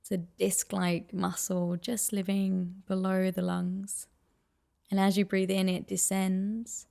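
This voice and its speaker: A woman leading a guided meditation with soft, soothing, unhurried and gently encouraging instruction. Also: mid-range voice, monotone, creaky.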